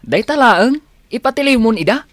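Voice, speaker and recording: Animated, protesting grown daughter. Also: female voice, on the high side, normal speed, no room sound, studio quality. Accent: Ilocano Filipino. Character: reluctant grown child calming an irate mother. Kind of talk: Filipino radio drama acting.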